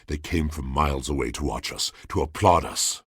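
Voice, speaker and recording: An actor voicing a brooding, lovelorn poetic machine in a video game, with theatrical, solemn delivery and a gravelly voice. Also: male voice, extremely low pitch, moderate pace, fairly bright tone, no echo, studio quality, heavily acted. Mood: wistful, grandiose. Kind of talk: video game character acting.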